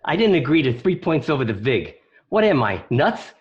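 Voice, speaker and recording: Griping wiseguy bookie acted by a man, animated. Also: mid-range voice, normal speed, lightly acted. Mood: indignant griping. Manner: griping, combative. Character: stubborn, indignant male wiseguy bookie. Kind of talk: amateur radio drama acting. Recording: dull lo-fi home recording.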